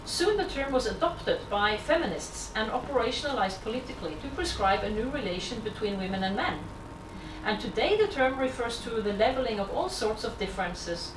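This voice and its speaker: A woman from Norway, a native Norwegian speaker, giving an academic lecture in English, reading from prepared text in a measured way with small self-corrections. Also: a little high. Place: lecture hall. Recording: echoey hall from far off, background noise.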